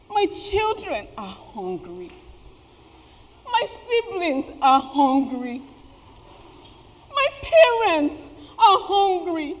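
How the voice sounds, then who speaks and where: A woman's voice: high voice, a little mellow, heavily acted. A woman playing a bitter, struggling market seamstress and mother, emphatic and sarcastic in a stage play. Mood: anguished. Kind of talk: theatrical stage play acting. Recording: echoey stage hall with background noise.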